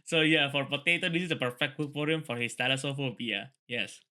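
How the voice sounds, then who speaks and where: Male, very bright forceful voice, read-along host, casual, repetitive. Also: mid-range voice, a little fast. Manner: rambling, repeats phrases. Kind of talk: book reading mixed with casual commentary.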